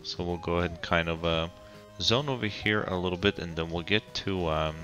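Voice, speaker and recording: A very low-pitched man talks through his city build in an easy, halting way, his voice raspy as he gets over a cold. His tone is bright and forward, and soft music plays behind him. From Colorado. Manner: relaxed, halting, frequent ums and restarts. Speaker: game commentator. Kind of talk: casual gameplay commentary.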